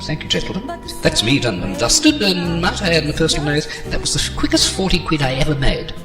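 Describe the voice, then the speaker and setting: A man playing a bored, offhand audio-description guide, giving a brisk mock narration in a comedy audio drama. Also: a little high, sing-song. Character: Brendan, bored, matter-of-fact male audio-description guide. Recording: echoey, loud music bed.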